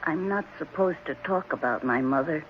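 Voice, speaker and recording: An actress playing a guarded, defensive ten-year-old orphan boy in a 1970s radio drama, halting and childlike. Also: sits low, lightly acted. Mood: reticent. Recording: muffled, noisy old radio broadcast.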